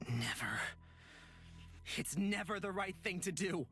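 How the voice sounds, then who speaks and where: A woman voicing an earnest young protagonist in a visual novel game, speaking in deliberate, dramatic phrases. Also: fairly low pitch, expressive, a bit bright. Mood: grave, resolute. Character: earnest young protagonist pleading with a possessed friend. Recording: music behind the voice.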